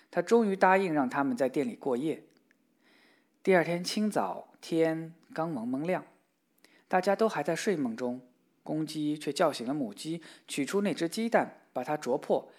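Expressive children's fairy-tale storyteller. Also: medium pitch. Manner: steady, expressive storybook reading.

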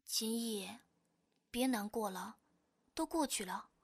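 A woman's voice: on the high side, takes it slowly, breathy edge, heavily acted. A woman acting in a Chinese audio drama as a jealous, pouty, insecure young girlfriend. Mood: gentle comforting.

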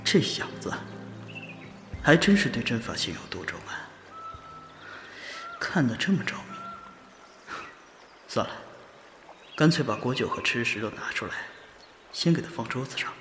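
A man's voice: medium pitch, normal speed, long pauses, music in the background. An actor playing a generous, wry older male master of magic formations in an audio drama who often mutters to himself. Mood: musing. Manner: lively, musing, often muttering aside.

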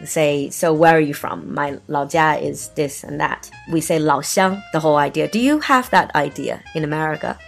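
A woman's voice: low voice, moderate pace, creaky, hardly any pauses, faint music behind. Chinese-accented woman host asking questions. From China. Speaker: podcast host. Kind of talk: interviewing in English.

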